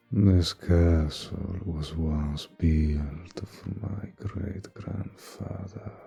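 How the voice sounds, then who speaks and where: A man playing an ancient, imposing vampire in an intimate roleplay audio drama, courtly, seductive and possessive, with a deep gravelly, breathy voice that dips into whispers. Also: warm tone, muffled recording, exaggerated voice. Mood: charming, courtly. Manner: slow, courtly, seductive, menacing.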